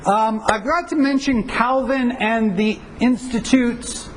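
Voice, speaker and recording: Man, high voice, pastor lecturing a class, conversational, rhetorical questions, faint background hiss. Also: slow. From United States. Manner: conversational, asks rhetorical questions, frequent fillers. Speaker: pastor teaching a church history class.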